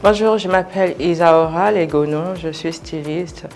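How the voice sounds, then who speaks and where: A woman in her thirties, a fashion contestant, talking in a chatty, relaxed way. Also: sits low, a little slow, over music. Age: 30s. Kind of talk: casual interview.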